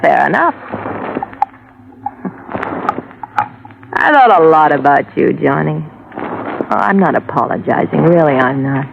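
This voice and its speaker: An actress playing a detective's proud, wounded old flame in a vintage radio drama, arch and emotional, on a muffled old recording. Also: female voice, low voice, lively intonation, moderate pace, vocal fry, noisy background, heavily acted. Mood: wistful, proud. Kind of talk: 1950s radio drama acting.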